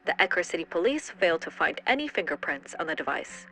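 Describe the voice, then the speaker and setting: A woman playing a measured true-crime narrator. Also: lowish voice, big pitch swings, pushed and bright, faint music behind, heavily acted. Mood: serious. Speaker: narrator and interviewer. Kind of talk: scripted narration.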